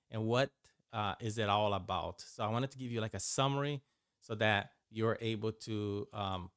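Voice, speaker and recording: A Brazilian-born man whose first language is Portuguese, explaining a Bible course in a loose, halting way full of restarts and fillers. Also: lowish voice, a bit bright, no echo, clean studio sound. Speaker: Bible and Hebrew teacher. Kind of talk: informal course overview.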